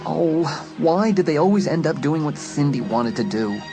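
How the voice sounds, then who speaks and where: A man's voice: fairly high pitch, animated, moderate pace, low-quality recording. A man narrating a horror audiobook in a suspenseful, dramatic storytelling manner. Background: loud music.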